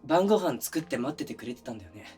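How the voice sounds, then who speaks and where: A man performs a young boyfriend in an intimate situation-voice drama. His mid-pitched voice is conversational and soft-spoken, with natural pauses. He sounds like someone considerate to others yet oblivious to his partner. Faint music plays behind him. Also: big pitch swings, close to an everyday voice. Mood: apologetic. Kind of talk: intimate situation-voice audio drama, naturalistic one-sided dialogue.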